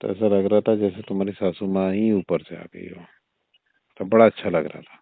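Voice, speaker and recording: Older father-in-law played by a man, lowish voice, indulgent and coaxing, conversational phone-drama delivery over a narrow phone line. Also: steady tone, moderate pace, vocal fry, no room sound. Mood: fond, suggestive. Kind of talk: staged phone-call audio drama in everyday conversational speech. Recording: muffled narrow-band phone-line sound.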